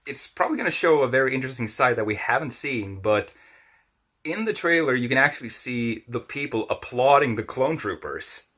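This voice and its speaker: A man in his twenties chatting casually as a podcast guest, with halting, hesitant phrasing. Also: medium pitch, expressive, a bit bright. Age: 20s. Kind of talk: casual chat about film and TV.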